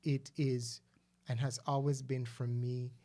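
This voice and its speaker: Man, mellow, relaxed tone, earnest, halting, co-host. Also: medium pitch. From Guyana. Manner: earnest, thoughtful, with frequent hesitations and restarts. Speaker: podcast co-host. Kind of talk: casual conversational discussion.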